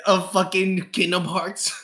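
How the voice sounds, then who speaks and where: A man chatting casually as a podcast panelist, excitable and stammering, often repeating his words. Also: high voice, takes it slowly. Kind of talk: casual group chat.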